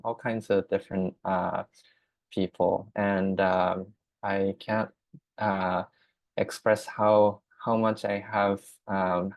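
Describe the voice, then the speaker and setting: A man from Hong Kong with Japanese as his first language talks through slides of his glass art, his speech stumbling through fillers and restarts. His voice sits a little low. The sound is dry, with no room echo. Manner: halting, many fillers and restarts. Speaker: glass artist giving a talk. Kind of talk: presentation with slides.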